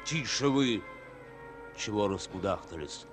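An actor playing a resolute, earnest Soviet army captain in a declamatory, emphatic mid-century radio drama style. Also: male voice, lowish voice, lively intonation, measured pace, over music. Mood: gruff, urging. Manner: declamatory, emphatic, swinging between hushed and ringing.

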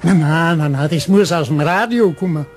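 A man's voice: a little high, moderate pace, natural voice. A man playing a reluctant, dismissive caretaker in a radio play, with a Bavarian accent. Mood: doubtful. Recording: music playing behind the voice.

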